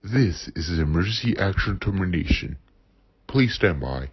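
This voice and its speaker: A man making short scripted emergency system announcements in a husky voice. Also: low voice, lively intonation, moderate pace. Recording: muffled lo-fi alert audio.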